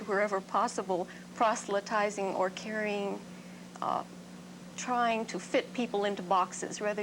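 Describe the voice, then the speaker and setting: Female interview guest, American, medium pitch, explanatory; clearly noisy recording with hum. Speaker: Quaker relief organization representative, interview guest. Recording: noisy, humming old TV recording.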